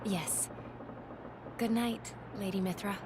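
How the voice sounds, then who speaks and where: A woman's voice: medium pitch, fairly even intonation, takes it slowly, noisy background, close to an everyday voice. A woman playing a serene, courteous envoy in a video game drama, speaking in a polite, formal, composed way. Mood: gentle, courteous. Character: serene, courteous female envoy to a high priest. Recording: loud music behind.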